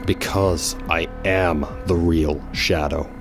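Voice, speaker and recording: A man's voice: deep voice, fairly even intonation, slow, faint music behind. A man voicing a brooding, serious male hedgehog antihero who speaks grimly and tersely. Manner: grim, terse.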